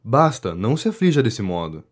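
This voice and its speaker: A male actor plays a well-mannered politician in a nineteenth-century comedy made for audio. His intonation moves in lively swings. He stays polite and reserved, with dry irony as he humours a flatterer. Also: fairly low pitch, a little fast. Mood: uneasy, placating. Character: courteous, wry politician wearily humouring a flatterer. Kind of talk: audio drama acting of a 19th-century comedy.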